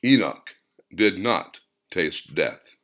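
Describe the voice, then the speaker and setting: Man acting male spirit, audiobook, slow, lowish voice, solemn, reverent, proclaiming. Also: very animated, fairly bright tone, heavily acted. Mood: solemn prophecy. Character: solemn male spirit voice inside a wave of energy.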